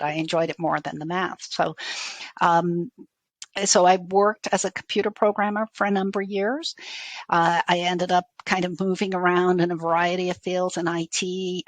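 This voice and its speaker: Middle-aged woman, low-pitched, softened Irish accent. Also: no room sound. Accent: faded Irish. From Dublin, Ireland. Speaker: university IT executive, interview guest. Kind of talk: conversational interview answers.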